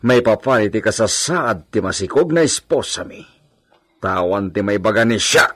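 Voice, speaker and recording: An actor playing a curt, irritable master of the house in a radio drama, dismissive, with a Filipino accent. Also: male voice, fairly low pitch, lively intonation, moderate pace, a bit bright, no room sound. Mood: irritated. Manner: brusque, dismissive. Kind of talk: Ilocano radio drama acting.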